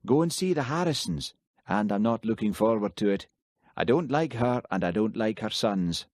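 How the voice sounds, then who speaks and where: A man reading an audiobook, voicing a Highland village policeman who talks plainly and questions firmly. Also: lively intonation, moderate pace, a little mellow, no room sound, clean studio sound. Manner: plain-spoken, firm questioning. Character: Highland village policeman, easygoing but stern. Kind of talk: audiobook character voice.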